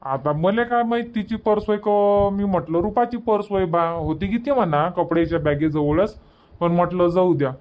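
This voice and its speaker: A man's voice: high-pitched, normal speed, narrow, tinny audio, natural voice. A man voicing an impatient, bossy village family man in a cartoon comedy, rambling in colloquial Vidarbha Marathi.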